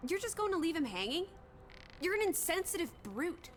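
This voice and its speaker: A woman's voice: very high voice, very animated, normal speed, faint music behind. An actress playing a bold, teasing young woman with a blunt, morbidly curious, old-fashioned way of talking in a video game. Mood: speculating, indignant.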